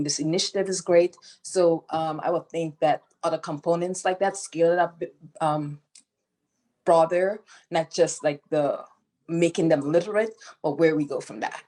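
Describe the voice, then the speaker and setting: A woman's voice: low-pitched, darkish tone. A woman originally from Guyana who founded a nonprofit, speaking on a panel in a hesitant, unscripted way with many fillers and restarts, heard over a video call.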